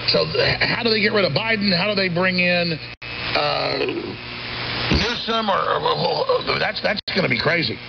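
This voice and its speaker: Very gravelly man hosting over noisy radio. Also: high-pitched, normal speed. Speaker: interviewer, host. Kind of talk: opinionated conversational political interviewing. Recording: dull, noisy shortwave radio relay.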